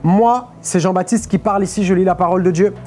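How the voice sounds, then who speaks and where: A young male preacher with a mellow, relaxed tone, teaching his sermon patiently and asking his listeners "ok?" again and again. He speaks from a lectern on a studio stage with faint music playing behind him. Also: high-pitched, fast. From Portugal. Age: young adult. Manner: explanatory, peppers phrases with "ok?" and "amen". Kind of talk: sermon on a live stream.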